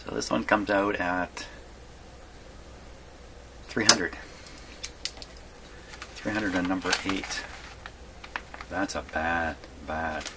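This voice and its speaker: A man giving a casual hands-on workshop demonstration, thinking aloud in a halting mutter and repeating his words. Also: deep voice, fairly even intonation. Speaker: hobbyist radio repairer presenting his workshop video. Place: radio repair workshop. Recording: clearly noisy workshop recording.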